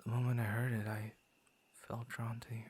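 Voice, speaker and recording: A man playing a gentle, shy young werewolf in an intimate ASMR roleplay, speaking softly and breathily, often near a whisper, with hesitant stammers. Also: mid-range voice, flat delivery, normal speed, a little hiss or hum, natural voice. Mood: gentle, concerned. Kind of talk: ASMR roleplay, intimate soft-spoken acting.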